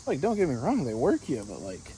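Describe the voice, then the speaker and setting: A man's voice: mid-range voice, huge pitch swings, fairly quick, mellow, poor audio. A man talking in a casual, rambling, slangy vlog style, chatting to viewers as he goes. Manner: laid-back slangy talk, calls listener dude. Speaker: vlogger. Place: outdoors in a yard. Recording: outdoor recording with clear insect and ambient noise.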